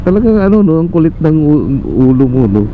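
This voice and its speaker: A man's voice: a little high, very animated, fairly quick, background noise. A man with a Filipino accent chatting casually and teasingly as a motorcycle vlogger on a helmet intercom mic. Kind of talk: casual bantering chat while testing vlogging setup. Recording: muffled helmet intercom, loud music, vehicle sounds.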